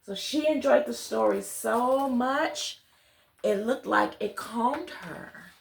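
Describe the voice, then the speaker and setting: A woman reading a children's picture book aloud, expressive, speaking directly to her young listeners. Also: fairly high pitch, slow pace, gravelly, echoey room. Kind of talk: children's read-along with friendly asides to listeners.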